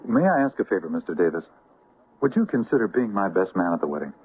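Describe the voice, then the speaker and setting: An actor playing a courteous, eager young groom-to-be and bank employee in a radio drama. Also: male voice, low voice, big pitch swings, fast, slightly rough, narrow, tinny audio, exaggerated voice. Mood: cheerful, courteous. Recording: band-limited old radio recording.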